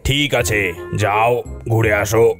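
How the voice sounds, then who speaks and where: A man's voice: on the low side, fairly even intonation, unhurried, a bit bright, soft background music. A man voicing a bossy, unworried uncle in a comic cartoon, teasing and commanding. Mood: unworried, genial. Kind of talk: cartoon voice acting.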